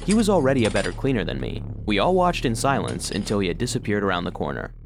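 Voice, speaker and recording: A man's voice: on the low side, huge pitch swings, fast, creaky, background noise, exaggerated voice. A man playing a gloomy, self-deprecating robot vacuum cleaner, telling his story wryly as narration for a children's audio drama. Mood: storytelling. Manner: wry, storytelling.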